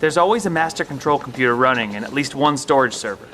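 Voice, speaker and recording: Earnest bunker professor, male, mid-pitched, measured and explanatory, faint background noise. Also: animated, pushed and bright. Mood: explaining calmly. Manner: explanatory, hesitant, reasoning. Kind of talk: audio drama acting.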